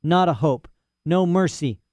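A male narrator puts on a cranky old professor in his cell, boastful and aggrieved, forever tacking on a nagging "eh?". The voice sits a little high, with a dry, close sound. It plays as an audiobook character part. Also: a little slow, a little mellow, no echo, lightly acted. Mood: dismissive. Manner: boastful, ranting, rhetorical "eh?" asides. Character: old imprisoned male professor, indignant and rambling.

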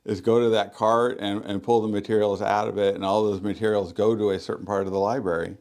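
A man working as a librarian, talking loudly and chattily as a radio interview guest, with frequent ums and restarts. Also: lowish voice, flat delivery, fast. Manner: loud, chatty, many fillers.

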